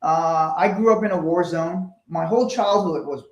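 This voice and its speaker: A man's voice: on the high side, moderate pace. A man from Liberia co-hosting a motivational talk, speaking earnestly and often repeating words as he starts a sentence. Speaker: co-host. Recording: echoey room.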